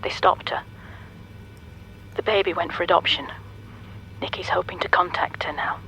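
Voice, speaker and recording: An actor playing an apologetic caller gently breaking disappointing news in a naturalistic radio drama. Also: male voice, sits high, fairly even intonation, moderate pace, vocal fry, a little hiss or hum, heavily acted, poor audio. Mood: apologetic, gentle.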